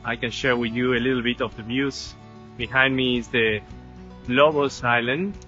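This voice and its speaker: A man in his thirties from Argentina, a surf instructor talking outdoors by the sea, halting and repeating words. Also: mid-range voice, steady tone, sharp tone. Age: 30s. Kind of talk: informal video talk. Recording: dull lo-fi sound with music.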